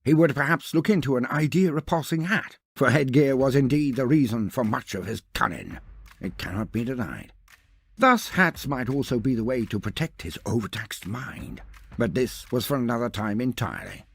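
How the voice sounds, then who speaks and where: A man reading scripted fantasy narration as a storyteller, in a pompous, wordy manner with dramatic pauses. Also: medium pitch, lively intonation, vocal fry, breathy edge.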